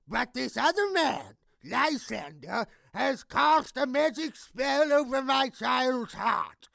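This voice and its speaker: A man's voice: very high voice, very animated, slow, creaky, a bit bright, dry recording, studio quality, heavily acted. A man playing an outraged, controlling noble father in an audio drama, declaiming his complaints indignantly. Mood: furious, accusing. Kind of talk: modernized Shakespeare audio drama acting.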